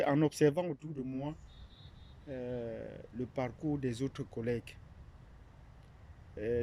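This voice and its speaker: A man's voice: fairly high pitch, mellow. A man from Cameroon, an academic, talking unscripted and hesitantly about a platform he founded, with many fillers and restarts. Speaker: academic presenting his platform. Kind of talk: unscripted monologue.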